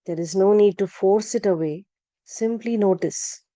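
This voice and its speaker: A woman leading a guided relaxation practice, speaking calmly and gently, with unhurried instructions and long pauses. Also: medium pitch, dry recording. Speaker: yoga nidra guide. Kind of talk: guided meditation narration.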